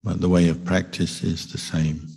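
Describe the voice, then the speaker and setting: A man leading a guided meditation as a teacher, speaking calmly and gently with deliberate pauses between short phrases. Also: sits low, vocal fry, husky. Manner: calm, deliberate, gentle instruction with long pauses. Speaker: Buddhist monk meditation teacher.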